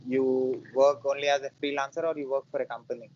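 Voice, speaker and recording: A man from India hosting an interview over a video call, asking questions in a halting way full of fillers. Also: mid-range voice, flat delivery, a little hiss or hum. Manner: hesitant, halting, lots of uh fillers. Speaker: podcast host. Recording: dull lo-fi call audio.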